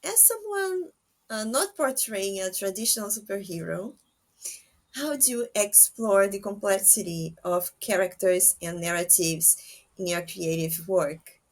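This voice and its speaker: A woman's voice: medium pitch, expressive, unhurried. A woman hosting a podcast interview, putting conversational questions to her guest.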